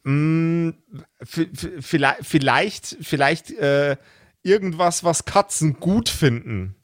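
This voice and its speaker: A man's voice: on the high side, slow, dry recording, studio quality. A man talking casually and emphatically as a game master, often repeating words for emphasis. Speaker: podcast host and game master. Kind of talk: casual group discussion of a role-play campaign.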